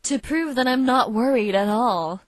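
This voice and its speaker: A woman playing a cold, calculating female unicorn blackmailer in a visual novel fan dub, speaking in a smug, measured, threatening way. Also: a little high, no room sound, clean studio sound, close to an everyday voice. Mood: smug, explaining. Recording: faint music behind.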